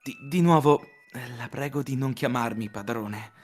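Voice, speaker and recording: A man voicing a modest, polite young gentleman in a live visual novel reading. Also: medium pitch, unhurried, soft background music, close to an everyday voice.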